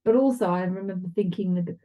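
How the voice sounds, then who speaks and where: A woman with a dark, mellow voice that sits a little low. She talks casually as a co-host giving commentary. Her delivery is reflective and somewhat tentative. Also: fast talker. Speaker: podcast co-host reviewing films.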